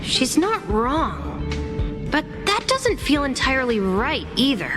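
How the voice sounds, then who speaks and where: A woman playing an excitable, hesitant space station researcher who thinks aloud in a video game. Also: sits high, slow, heavily acted. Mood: pensive. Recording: background music behind the voice.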